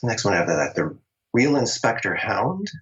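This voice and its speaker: A man's voice: lowish voice, huge pitch swings, normal speed, fairly bright tone. A middle-aged man from Long Island telling stories about his acting start in a casual interview, chatty and laughing often. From Long Island, New York. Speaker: interview guest, actor. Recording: dull, lo-fi sound.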